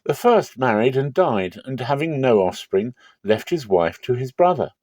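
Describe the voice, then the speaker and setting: A man voicing sly, flattering questioners who test a teacher in a dramatic scripture reading. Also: mid-range voice, normal speed, dry recording, clean studio sound, pitched above the performer's own voice. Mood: probing, needling. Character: smooth-talking questioners slyly testing a teacher.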